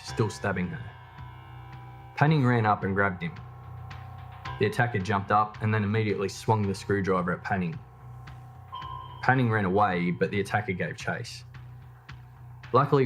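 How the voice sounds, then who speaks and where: Low-pitched man narrating true crime. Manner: measured, matter-of-fact storytelling. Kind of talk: scripted documentary narration.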